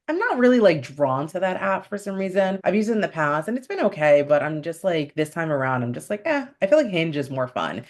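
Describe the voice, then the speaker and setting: A woman's voice: sits low, darkish tone. A young woman from upstate New York, raised speaking Spanish first, chatting candidly and upbeat to camera in a vlog. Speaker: vlogger. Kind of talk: casual chat to camera.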